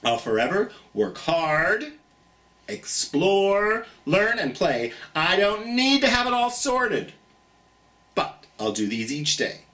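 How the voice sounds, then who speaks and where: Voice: mid-range voice, sing-song, slow, bright, forceful tone, far from the mic. A children's storytime host with a theatrical, singsong manner, speaking encouragingly and directly to young listeners.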